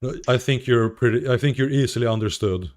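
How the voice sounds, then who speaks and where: A man's voice: medium pitch, fairly quick, darkish tone. A man with a Swedish accent, interviewing a player on a stream with friendly, teasing questions.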